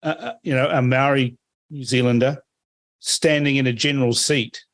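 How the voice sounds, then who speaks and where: A man from Fiji hosts a political podcast, posing long, opinionated questions that lead his guest. His voice sits at an ordinary middle pitch. The sound is close and dry, with no room around it. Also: fairly even intonation, normal speed, no room sound. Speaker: podcast host. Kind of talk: political interview.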